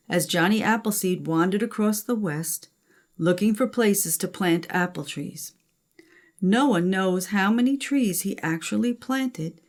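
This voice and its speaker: An older woman, a grandmother, reading a children's storybook aloud. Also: medium pitch.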